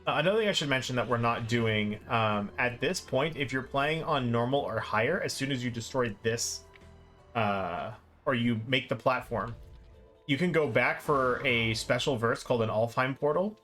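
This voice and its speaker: A man's voice: mid-range voice, moderate pace, a bit bright, soft background music. A man giving casual, rambling live commentary as he plays a game, informal and self-deprecating. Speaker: streamer playing and explaining a game. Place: live stream with game music behind.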